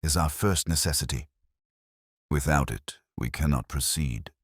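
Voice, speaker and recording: A man voicing a pompous, deep-voiced detective. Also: slightly rough, dry recording, clean studio sound, full character voice. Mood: firm. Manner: pompous, formal, deliberate. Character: large brilliant grumpy male detective, imperious and weary.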